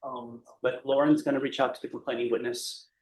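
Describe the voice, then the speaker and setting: A man's voice: mid-range voice, flat delivery, brisk. A man, a defense attorney, giving a rambling, detailed update to the judge in court. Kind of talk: courtroom address. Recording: echoey courtroom, faint noise.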